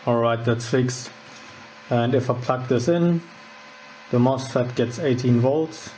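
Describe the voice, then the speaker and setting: A man giving a casual, unscripted electronics demonstration, halting and informal as he thinks aloud. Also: medium pitch, dark tone, a little hiss or hum, rough recording. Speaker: host of a DIY electronics channel. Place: indoors at a wooden workbench.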